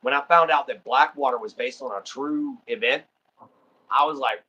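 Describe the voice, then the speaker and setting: A man's voice: mid-range voice, moderate pace, sharp tone, breathy edge, highs cut off. A man with a Texan accent from Southeast Texas, hosting a livestream in easygoing, rambling casual chat full of ums and uhs. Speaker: livestream host.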